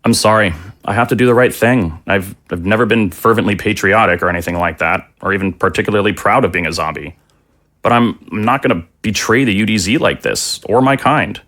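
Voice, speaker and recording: A man's voice: fairly low pitch, fairly quick, a bit bright, natural voice. An actor playing an earnest, humble father in an audio drama, sincere, hesitant and pleading. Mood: earnest refusal. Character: earnest, anxious zombie father, humble and principled.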